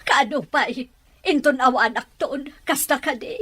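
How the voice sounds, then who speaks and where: A woman acting a despairing wife and mother in an Ilocano audio drama, with a husky voice. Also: high voice, moderate pace, a bit bright. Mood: despairing, fretful. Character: despairing mother of a missing child, married long.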